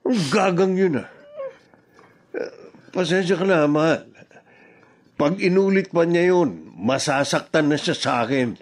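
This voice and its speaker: Blunt, higher-voiced cheating husband. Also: male voice, lively intonation, measured pace, long pauses. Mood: irritated, protective. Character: gruff cheating husband, protective of his mistress. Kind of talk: radio drama acting.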